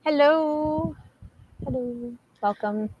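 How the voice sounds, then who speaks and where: A woman's voice: high-pitched, extremely slow, lo-fi sound, slight background noise. A woman chatting casually about books as a livestream co-host, in a hesitant, rambling way sprinkled with "like". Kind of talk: casual book chat.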